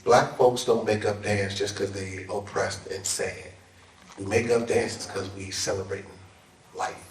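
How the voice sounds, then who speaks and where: A man's voice: on the low side, fairly even intonation, unhurried, a little hiss or hum. A man in an informal panel talk, emphatic and plain-spoken, with preacherly asides. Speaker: dance scholar and panel speaker. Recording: echoey hall, distant mic.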